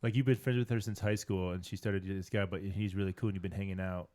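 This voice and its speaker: A man's voice: deep voice, fairly even intonation, quick pace, a little mellow. A man co-hosting a podcast, chatting informally and emphatically in a loose, slangy way. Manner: informal, emphatic agreement, says "man" and "dude". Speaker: podcast co-host. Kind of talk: casual chat.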